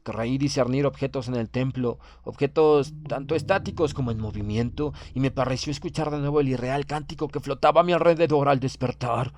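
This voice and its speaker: Un hombre que lee un audiolibro de terror como un orgulloso oficial naval prusiano condenado, con tono grave y pausado. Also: male voice, mid-range voice, darkish tone, natural voice. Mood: grave dread. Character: proud, doomed Prussian naval officer writing his last account. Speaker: audiobook reader. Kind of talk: dramatic horror audiobook narration.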